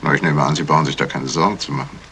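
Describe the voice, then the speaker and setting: An actor playing a courteous, self-assured gentleman sleuth in a 1970s radio crime drama, polite and soothing. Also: rumbling low, rapid-fire, heavily acted. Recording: vintage radio recording, faint hiss.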